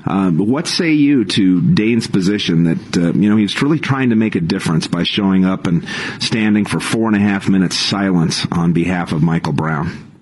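A man's voice: low voice, normal speed, slightly rough, poor audio. An American man hosting a political talk radio show in a conversational style. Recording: faint background noise.

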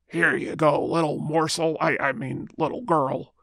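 A woman voicing a sly, hungry male big bad wolf in a children's puppet show, gravelly and falsely polite. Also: deep voice, steady tone, a bit bright, no room sound, studio quality, pitched below the performer's own voice. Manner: slow, scheming, falsely polite.

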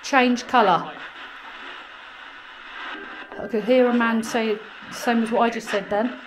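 A woman, calmly calling out to spirits in a small abandoned farmhouse room on a handheld camera. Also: on the high side, measured pace, crowd noise, low-quality recording. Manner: calm, coaxing questions. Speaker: paranormal investigator. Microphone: handheld action camera.

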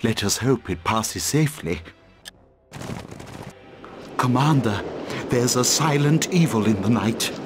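An actor playing a solemn, courteous forest elf envoy in a video game, formal and portentous. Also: male voice, mid-range voice, expressive, takes it slowly, pauses often, noisy background. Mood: foreboding. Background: music.

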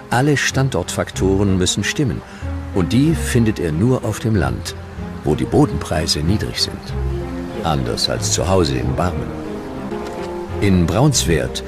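Man, very low pitch, measured documentary narration, serious, music underneath.

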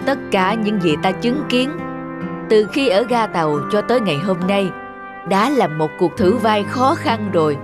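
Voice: mid-range voice, expressive, unhurried, natural voice. An actor playing a kindly film director in a cartoon, speaking in a reassuring, encouraging manner. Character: kindly grown male film company director. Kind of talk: animated moral tale dialogue. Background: background music.

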